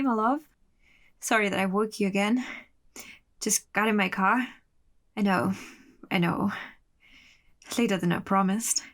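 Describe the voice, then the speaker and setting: A woman's voice: medium pitch, fairly bright tone. An actress playing a volatile, obsessive young woman in a radio drama, with a dramatic, defensive, sardonic way of talking. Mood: tender.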